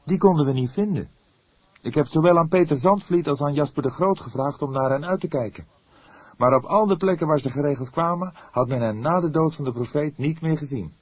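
Man voicing an excited, high-pitched anxious young man. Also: brisk, breathy edge, no echo. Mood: earnest, calmer. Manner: breathless, insistent, earnest.

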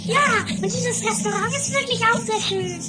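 A shrill, theatrical, snippy lady. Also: normal speed, loud music behind the voice. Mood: delighted. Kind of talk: improvised trashy 1980s comedy audio drama. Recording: amateur 1980s radio play recording.

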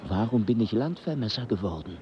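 A man's voice: fairly low pitch, expressive, fairly quick, highs cut off, over music, lightly acted. A man in a radio play acting a bitter land surveyor with an acerbic, dramatic delivery. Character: bitter, self-loathing land surveyor lamenting his lot.

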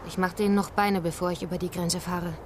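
A woman's voice: lowish voice, little pitch movement, fast, noisy background, lightly acted. An actress in a radio drama playing a brusque, impatient woman with a firm, clipped manner. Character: brusque, impatient woman helper pushing migrants onward.

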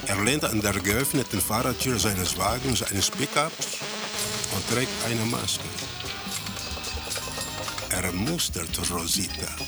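An actor as the detached narrator of a grim crime tale in a radio drama, reporting in terse, deliberate sentences. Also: male voice, fairly low pitch. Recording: music under the voice.

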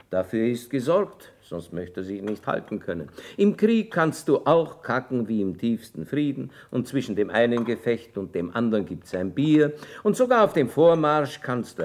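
Pompous worldly army preacher in a radio play, cynical, voice swings up and down a lot. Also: male voice, lowish voice, darkish tone. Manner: pompous, declamatory. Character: boastful, cynical army chaplain, worldly and wordy. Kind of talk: stage-style German radio drama acting.

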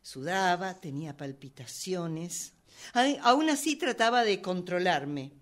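A woman's voice: on the low side, sing-song, takes it slowly. A woman playing an indignant, hot-tempered woman in a radio drama, agitated and repeating words in bursts as she makes excuses. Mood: seething. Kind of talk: radio drama workshop acting.

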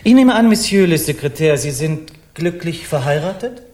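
An actor playing a brazen, eloquent Parisian artist in a German radio crime play, theatrical and mocking in manner. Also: male voice, fairly high pitch, animated, moderate pace, a little mellow, lightly acted. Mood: mocking, insinuating.

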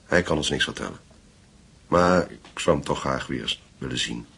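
An actor playing a courteous, hesitant investigator in a radio play, with a gravelly, husky voice. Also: male voice, very deep voice, lively intonation, moderate pace, a bit bright, heavily acted. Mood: thoughtful. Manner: hesitant, polite. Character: courteous, hesitant male investigator staying at a hotel.

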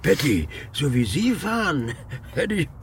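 An actor playing a sickly, bitter old father in an audio drama, with halting, musing, sardonic speech. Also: a little high, huge pitch swings. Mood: wry, frail. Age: older. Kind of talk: naturalistic audio drama acting. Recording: loud car and road noise. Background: car engine and traffic.

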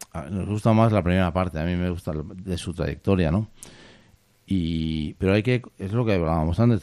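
A man with a deep, smooth, resonant voice hosts a relaxed literature program, explaining poems and reading them out in a steady manner. His tone is mellow and the recording is close and dry. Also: no echo. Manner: steady, explanatory, tags lines with "¿no?". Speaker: radio host. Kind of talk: casual radio chat about literature.

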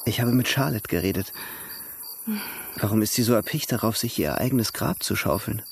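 A man's voice: lowish voice, lively intonation, normal speed, vocal fry, slight background noise. An actor in a radio drama playing an eager, lovestruck male suitor, speaking courteously and effusively. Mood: earnest.

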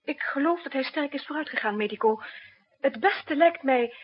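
A woman's voice: high-pitched, normal speed, close to an everyday voice. An actress playing an anxious, tender woman with an emotional, hesitant delivery in a 1960s radio drama. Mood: anxious, pleading. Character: anxious, tender woman hiding a desperate secret. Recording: muffled 1960s radio recording.

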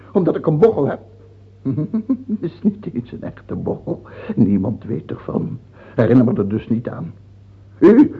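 Radio play role: cruel, raving king on his deathbed, sixties, gravelly, swinging widely in pitch, gasping, lo-fi phone-like sound. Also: exaggerated voice. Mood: feverish, agitated. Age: 60s. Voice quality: husky, creaky, gasping. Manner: halting, repeating himself, sudden outbursts. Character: dying sixty-year-old tyrant king, bitter, cruel, raving. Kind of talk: theatrical radio drama acting. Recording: old lo-fi radio recording, phone-like.

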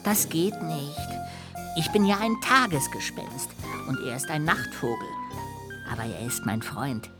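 An actress playing a polite, emotional little ghost in a children's radio play, with a formal, expressive delivery. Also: female voice, low-pitched, a little slow, over loud music. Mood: excited, hopeful.

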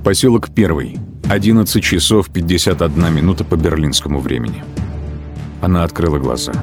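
A man's voice: deep voice, a little fast. A man reading scripted literary narration for a radio play, with music behind him.

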